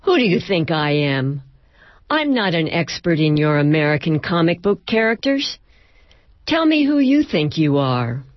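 A woman's voice: fairly low pitch, sing-song, a little slow, dry recording, lo-fi sound. A woman voicing a stern, pompous male psychoanalyst who scolds and dismisses in a clipped manner. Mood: probing, pompous.

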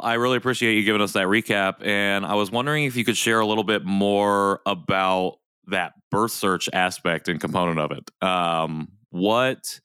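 Clear-voiced man hosting an interview podcast. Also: on the low side, bright, forceful tone, no room sound, clean studio sound. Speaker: podcast host. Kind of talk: conversational interviewing.

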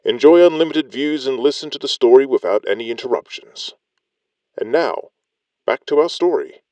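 A man's voice: mid-range voice, expressive, normal speed, mellow, no echo, dull audio. A man reading a scripted promotional message as an announcer.

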